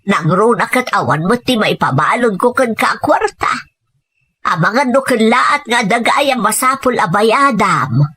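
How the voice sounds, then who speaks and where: A woman's voice: fairly high pitch, animated, a little slow, fairly bright tone, husky, voice pushed down, full character voice. A woman playing an elderly grandmother in an audio drama, fretful and admonishing as she cautions her grandchild. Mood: worried, cautioning. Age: older.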